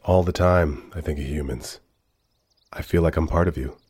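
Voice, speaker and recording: An actor playing a polite, introspective AI robot companion with a measured, formal way of talking, in a scripted sci-fi audio drama. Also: male voice, very deep voice, a little mellow, slightly rough, dry recording. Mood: earnest, reflective. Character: emotional, polite male AI robot companion, introspective and easily slighted.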